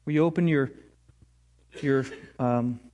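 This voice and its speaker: A man's voice: mid-range voice, slow, darkish tone. A man preaching a sermon to his congregation, earnest and rising to emphatic peaks. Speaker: pastor.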